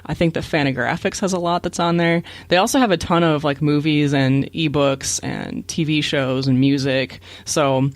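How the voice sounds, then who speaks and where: A man's voice: fairly high pitch, steady tone, moderate pace, hardly any pauses, no echo. A man co-hosting a podcast, explaining things in a casual, matter-of-fact way. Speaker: podcast co-host.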